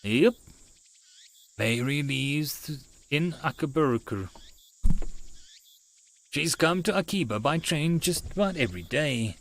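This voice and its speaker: A male audiobook narrator reading a first-person story. His voice is fairly high for a man and moves with lively, varied intonation. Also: a little slow, long pauses.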